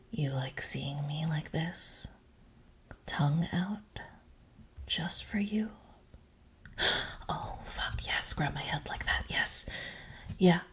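A young woman speaking in a breathy, intimate whisper with soft sighs, close to the microphone. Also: low-pitched. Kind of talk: whispered audio roleplay.

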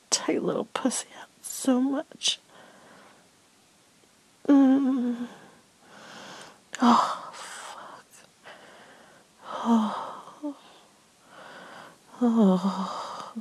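An adult woman giving an intimate, whispered first-person erotic narration in a breathy voice, drawn out and broken by moans. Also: fairly high pitch, a little mellow, dry recording, low-quality recording.

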